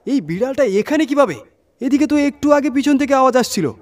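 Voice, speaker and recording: Irritable, rattled male trucker for horror audio drama, acted by a male narrator, pitched very high, agitated delivery. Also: expressive, brisk. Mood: alarmed. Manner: agitated, exclaiming in questions. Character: Ajay, irritable, frightened male truck driver.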